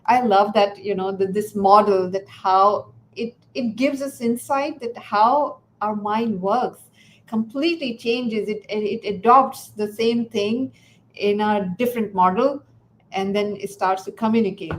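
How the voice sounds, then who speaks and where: A woman whose first language is Urdu, hosting a casual interview and talking in a halting way with many fillers and restarts. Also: medium pitch, fairly even intonation, a little hiss or hum, poor audio. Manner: hesitant, many fillers and restarts, laughs often. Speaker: podcast host.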